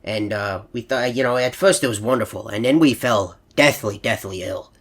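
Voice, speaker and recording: A man's voice: fairly low pitch, normal speed, a bit bright. A man voicing a sweet elderly animatronic hippo in a video game, telling meandering stories in a stammering, earnest, hesitant way. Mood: wistful, earnest. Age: older. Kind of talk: rambling anecdotal monologue in a video game.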